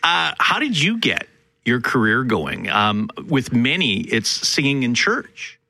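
A man's voice: mid-range voice, slow, vocal fry, breathy edge, no echo. A man hosting a radio news hour and interviewing guests in an upbeat, joking way. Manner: upbeat, jokey, animated questioning. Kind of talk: broadcast news and interview chat.

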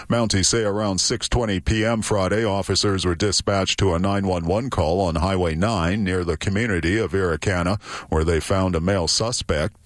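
A man anchoring a radio newscast, reading the stories in a steady, measured way. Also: deep voice, no echo, studio quality. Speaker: news anchor.

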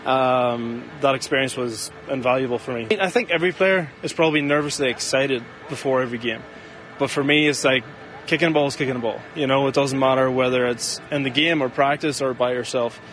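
A young man with a British accent, talking in an interview clip as a football kicker, relaxed and laid-back with lots of "like" and "you know". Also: mid-range voice, fairly quick, slight background noise, rough recording.

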